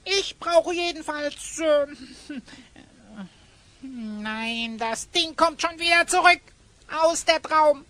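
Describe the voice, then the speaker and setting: A woman's voice: high voice, slow, bright, forceful tone, slight background noise, full character voice. A woman playing a cheeky, food-loving, easily offended sidekick in a children's radio play, indignant and sarcastic. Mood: peckish, sarcastic.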